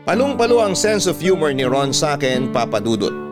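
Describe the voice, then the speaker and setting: A man's voice: a little high, very animated, normal speed, loud music behind the voice. A man narrating a scripted first-person love story as a radio host reading a listener's letter.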